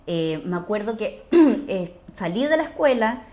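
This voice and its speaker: A woman's voice: mid-range voice, big pitch swings, unhurried, darkish tone. Effusive Chilean woman in an echoey room. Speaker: actress, podcast guest. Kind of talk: casual storytelling chat.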